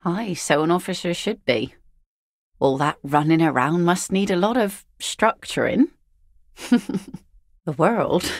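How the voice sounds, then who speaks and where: A woman's voice: low-pitched, no echo, full character voice. An actress voicing a weary, sardonic woman who mends nets and minds her kids, speaking in dry, teasing game voice lines. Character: weary, sardonic adult female net mender with kids.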